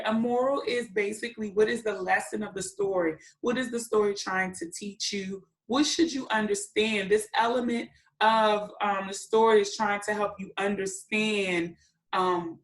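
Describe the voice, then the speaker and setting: A woman hosting a children's storytime, reading and explaining words simply in an encouraging way to young listeners. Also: medium pitch. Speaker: children's read-aloud host and teacher. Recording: echoey room.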